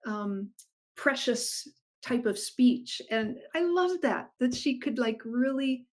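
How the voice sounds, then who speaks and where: A woman's voice: on the high side, slow pace. A middle-aged woman author chatting enthusiastically over a video call about her writing. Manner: enthusiastic, animated storyteller. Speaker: guest author. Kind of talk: casual interview chat.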